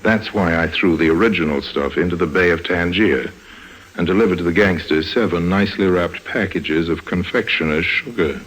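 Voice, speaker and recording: An actor playing a suave, wry con man in a 1950s radio drama, unhurried and drily joking. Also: low-pitched, fairly bright tone, husky, low-quality recording. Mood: smug, amused. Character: suave, wry adult male rogue narrator, coolly amused con man. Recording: old 1950s radio recording.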